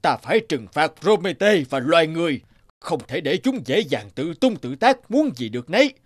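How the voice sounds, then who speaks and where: An imperious, vengeful god, animated pitch. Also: male voice, moderate pace. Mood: stern, brooding. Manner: imperious, declamatory. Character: stern, vengeful ruler of the gods, commanding and scheming. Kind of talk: animated fairy-tale dubbing.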